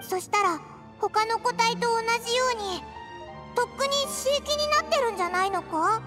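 A squeaky-high cheeky fairy sidekick. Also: female voice, moderate pace. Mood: curious, doubtful. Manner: blunt, rough casual childlike speech. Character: small floating fairy companion, cheeky, boyish-talking, childlike, loyal. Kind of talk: Japanese game dub dialogue. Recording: music behind voice.

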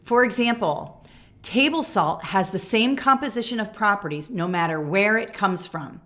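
A woman's voice: mid-range voice, animated, normal speed, fairly bright tone. An American woman in her forties teaching in a conversational, emphatic way, often checking in with the listener as she demonstrates a classroom lesson. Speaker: teacher and teacher trainer. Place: small furnished room indoors.

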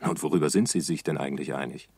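A man playing a sardonic commentator in a literary radio play, with a gravelly, husky voice. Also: rumbling low, fast, darkish tone, no echo, full character voice. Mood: sardonic, probing. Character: sardonic male commentator musing on success. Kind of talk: literary radio play monologue, reflective and essayistic.

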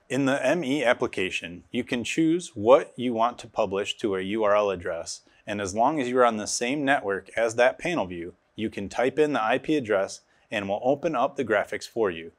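A man in his thirties giving scripted instructional narration as a technical specialist, recorded on a lapel mic in a studio. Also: mid-range voice. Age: 30s. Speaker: automation specialist presenting a tutorial.

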